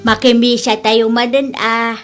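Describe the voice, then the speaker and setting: A nosy, teasing older village mother, acted by a woman in an Ilocano comedy drama. She talks slowly in a fairly high voice with an Ilocano accent, full of good-humored gossip. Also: little pitch movement, loud music behind the voice, natural voice. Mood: matter-of-fact. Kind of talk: Ilocano comedy radio-style drama acting.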